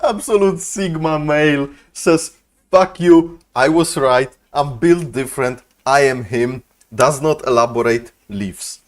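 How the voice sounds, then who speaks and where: A man's voice: on the high side, a little slow. A young man giving casual, profane, slangy and incredulous commentary as a game streamer.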